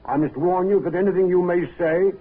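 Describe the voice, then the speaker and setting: An actor playing a brisk, authoritative police inspector, formal and dryly courteous, in a 1950s radio drama. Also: male voice, high-pitched, steady tone, lightly acted. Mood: dry, official. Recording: tinny, noisy 1950s radio recording.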